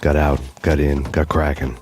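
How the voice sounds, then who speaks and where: A deadpan noir cop narrator. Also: male voice, rumbling low, moderate pace, slight background noise. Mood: grim. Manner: flat, deadpan, sardonic. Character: weary hard-boiled male cop narrator, grim and sardonic.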